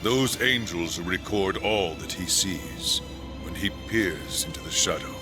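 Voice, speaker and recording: An actor playing a seasoned, steadfast angel warrior in a fantasy game, speaking formally and earnestly. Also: male voice, low-pitched, animated, a little slow, over music. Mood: grave, steadfast. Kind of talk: dramatic game cutscene acting.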